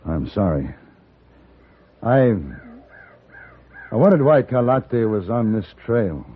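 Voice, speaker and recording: An actor playing a calm, principled gunfighter with an American accent in a radio western, speaking in a measured, articulate, firm way. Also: male voice, on the low side, slightly rough, exaggerated voice. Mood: somber. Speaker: lead actor and narrator. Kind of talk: old-time radio western acting. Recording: tinny old radio recording with hiss.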